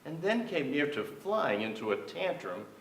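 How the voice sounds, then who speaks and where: An actor playing a storyteller of family memories, reading in a theatrical literary style. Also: male voice, a little high, sing-song, moderate pace. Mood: narrating, tense. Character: storyteller recalling tense family scenes. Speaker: stage actor in a staged reading. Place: theatre stage. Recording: echoey theatre, far from mic.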